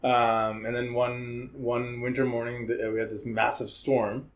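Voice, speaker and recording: A man's voice: mid-range voice, little pitch movement, unhurried. Chatty man telling stories. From Hong Kong. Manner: chatty storyteller, tags sentences with "right?". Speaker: podcast guest, criminal defense lawyer. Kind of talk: casual interview chat.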